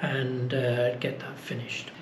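A man's voice: medium pitch, slow pace. Chatty older man, echoey room. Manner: relaxed, explaining with many uh fillers. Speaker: boat-building vlogger. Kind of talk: casual chat to camera. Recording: echoey room with faint noise.

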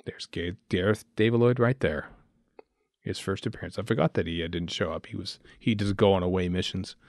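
A man's voice: sits low, fairly even intonation, fairly quick, a little mellow, dry recording, studio quality. A man chatting casually and thinking aloud as a podcast host. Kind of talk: casual fan commentary chat while watching a show.

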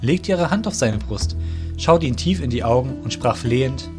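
A man with a medium-pitched voice narrates a fantasy tale. His delivery is scripted storybook reading. Guitar music plays clearly underneath. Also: lively intonation, moderate pace. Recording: music behind the voice.

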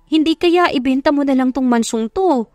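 A woman's voice: sits high, normal speed, darkish tone, dry recording, studio quality, soft background music, voice pushed up, lightly acted. A woman voicing an older female housekeeper in an audio drama, earnest, grateful and hesitant. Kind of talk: Tagalog audio drama acting.

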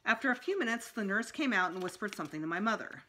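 A woman's voice: mid-range voice, expressive, fast talker, bright, forceful tone, slight background noise. A middle-aged woman reading a children's book aloud expressively as a school storytime reader. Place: small room indoors at home.

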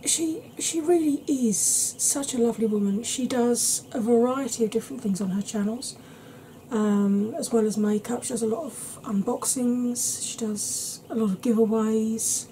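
A woman in her thirties chatting to camera at home in a soft ASMR style, rambling and often dropping into whispers. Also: fairly high pitch, moderate pace, a little hiss or hum, poor audio. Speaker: beauty vlogger. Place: small cluttered room at home.

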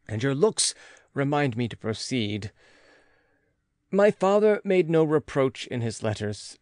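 Male narrator for gothic classic audiobook. Mid-range pitch, formal and measured, very dry recording. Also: no room sound. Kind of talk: scripted literary narration of a classic gothic novel.

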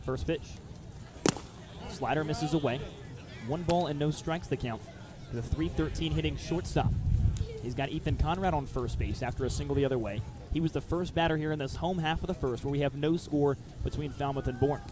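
A man's voice: a little high, a little fast, noisy background. A man calling live baseball play-by-play for radio, mixing clipped phrases with detailed description.

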